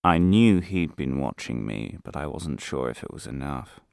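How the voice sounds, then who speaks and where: A man playing a wronged, quietly defiant ex-prisoner in a radio play monologue, confessional, wry and halting. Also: very deep voice, expressive, gravelly, clean tone, dry recording, studio quality, pitched below the performer's own voice, heavily acted. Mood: bitter, defiant.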